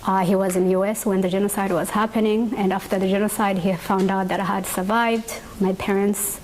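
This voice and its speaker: A woman's voice: mid-range voice, little pitch movement, a little mellow, slight background noise, poor audio. Earnest young woman from Rwanda. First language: Kinyarwanda. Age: young adult. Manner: measured and earnest, many pauses with uh and um. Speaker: genocide survivor speaker. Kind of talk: personal testimony talk.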